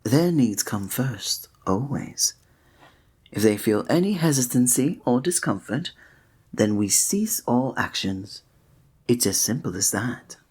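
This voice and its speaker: A man's voice: medium pitch, expressive, slow, a little mellow, husky. A man playing a flirtatious, courteous innkeeper in a fantasy village, speaking formally in a scripted ASMR roleplay addressed to the listener. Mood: teasing, earnest. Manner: formal, old-fashioned, teasing, suave diction.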